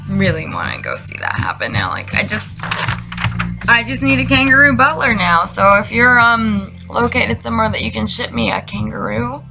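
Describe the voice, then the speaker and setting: A woman's voice: fairly high pitch, sing-song, moderate pace, gravelly, music in the background. A woman giving a casual comedic monologue in a slangy, sarcastic way with plenty of swearing. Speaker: vlogger reading out messages she received.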